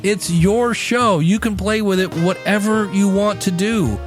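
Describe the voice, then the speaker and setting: A man hosting a podcast in a conversational solo style, speaking emphatically and slowing down for dramatic effect. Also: high voice. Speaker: podcast host. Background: background music.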